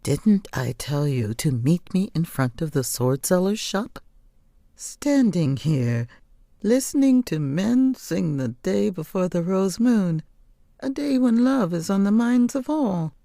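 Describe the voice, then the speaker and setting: A stern mother played by a woman reader in an audiobook, scolding and reproachful. Her voice is deep and dark, recorded very dry and close. She speaks with bitter urgency. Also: sing-song, takes it slowly, dry recording, full character voice. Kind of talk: audiobook character voice.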